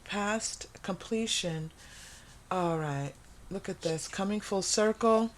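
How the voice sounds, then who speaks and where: A woman's voice: medium pitch, takes it slowly, a little hiss or hum. A woman with a mid-range voice talks viewers through a tarot reading. Her delivery is casual and intuitive, as if thinking aloud. She often repeats a phrase to underline it. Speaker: tarot reader and host.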